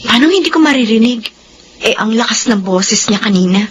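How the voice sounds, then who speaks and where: A woman's voice: a little high, lively intonation, a little fast, close to an everyday voice. A woman playing a probing, suspicious younger sister-in-law. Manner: questioning, probing tone. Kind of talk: radio drama acting. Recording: radio drama with faint background noise.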